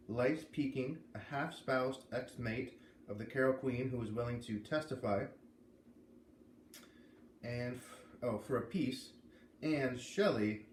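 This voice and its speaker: A man doing an expressive storybook read-aloud, pausing to explain and comment as he goes. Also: medium pitch. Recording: echoey room with clear background noise.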